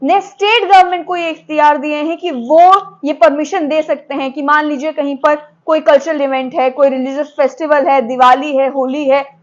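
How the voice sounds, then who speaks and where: A woman news presenter. She delivers explanatory commentary, slipping English technical terms into her sentences. The audio is slightly dull and lo-fi. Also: high-pitched.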